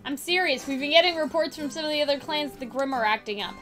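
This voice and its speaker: Woman playing a high, bright, bossy big sister. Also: soft background music. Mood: stern. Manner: brisk, teasing, assertive. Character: bossy, teasing, brisk big sister who tends dragons.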